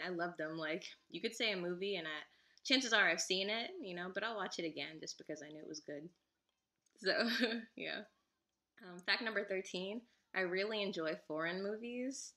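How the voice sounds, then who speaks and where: Giggly young woman, bright lower voice. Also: gravelly. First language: Igbo. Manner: chatty and giggly, lots of fillers. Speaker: vlogger. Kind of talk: casual chat to camera.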